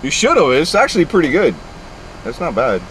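A man in his thirties chatting casually and deadpan from inside a car, like an innocent victim. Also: a little high, a little fast, a little hiss or hum, rough recording. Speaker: prankster in a drive-thru prank video. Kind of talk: casual prank chat with drive-thru staff. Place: inside a car at a drive-thru window. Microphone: camera inside the car.

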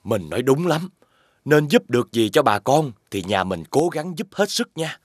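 An actor playing a generous, earnest village benefactor in an animated folktale, sincere and encouraging. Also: male voice, medium pitch, moderate pace, dry recording, clean studio sound.

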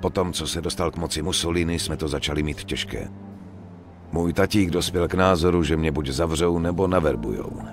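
A proud young immigrant gangster in a game cutscene. He is serious and clipped in his delivery. Music runs clearly behind his voice. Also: rumbling low. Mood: somber. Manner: serious, curt. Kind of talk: dubbed video game cutscene acting.

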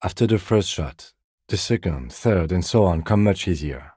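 An actor playing a composed, dry-witted, by-the-book middle-aged police detective in a video game, speaking in a measured, precise, deadpan way. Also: male voice, low voice, husky, no echo. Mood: measured, serious. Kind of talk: video game character dialogue.

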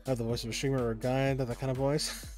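A man's voice: mid-range voice, flat delivery, very fast, darkish tone, faint music behind. A young man streaming a video game, chatting casually with viewers, rambling and stopping and restarting, with the odd curse. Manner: rambling, stop-start, swears under his breath. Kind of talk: casual live-stream chat while gaming.

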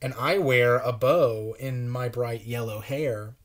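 A man's voice: mid-range voice, takes it slowly, pitched below the performer's own voice, lightly acted. A man voicing an eager, childlike little carrot girl from a storybook.

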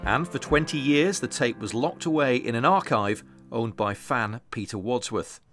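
A man with a medium-pitched voice narrates a scripted news report. Music plays clearly behind his voice. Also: normal speed, a bit bright.

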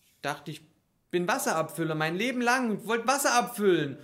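A man playing a distraught young heir to an indebted family plant in an improvised stage radio play, halting and stammering. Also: high-pitched, animated, a bit bright. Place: small curtained theatre stage. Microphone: stand microphone.

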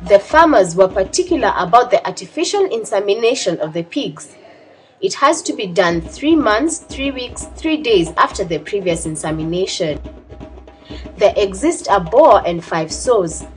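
Female documentary narrator, scripted read, animated intonation, mid-pitched, faint music bed. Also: unhurried, poor audio.

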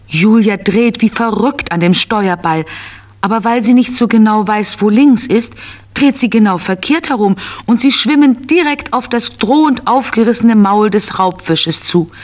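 A woman narrating a scripted children's audio story in an expressive storytelling manner. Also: mid-range voice, unhurried.

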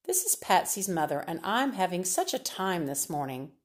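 A woman's voice: fairly low pitch, very animated, normal speed. A woman reader voicing a harried mother at her wit's end, straining to sound polite. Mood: exasperated. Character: harried, exasperated young mother.

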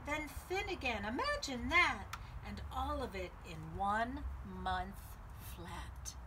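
A middle-aged woman hosting a children's storytime, reading a picture book aloud in a lively, sing-song way with deliberate phrasing. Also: high-pitched, slight background noise, rough recording. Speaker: library storytime host. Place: outdoors on a home patio.

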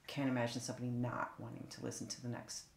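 A woman's voice: extremely low pitch, completely flat delivery, quick pace, creaky, a little mellow, slight background noise. A woman chatting casually as a voice actor in a roundtable discussion. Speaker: drama podcast voice actor in a roundtable. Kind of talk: casual chat. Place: indoor room, group roundtable. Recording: echoey room.

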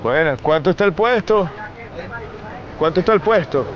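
A Venezuelan man questions street parking attendants from the driver's seat, his voice sitting a bit high. He repeats prices back with drawn-out indignation. The sound is slightly muffled, with faint traffic behind him. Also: expressive. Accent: Venezuelan Spanish. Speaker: street reporter. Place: inside a car on a city street.

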